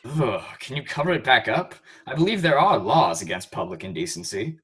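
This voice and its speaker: A man's voice: mid-range voice, lively intonation, brisk, fairly bright tone, breathy edge. A man playing a cocky, flirtatious, mocking Shakespearean actor in an audio play, with theatrical, pompous delivery. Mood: boastful. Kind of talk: amateur audio play acting.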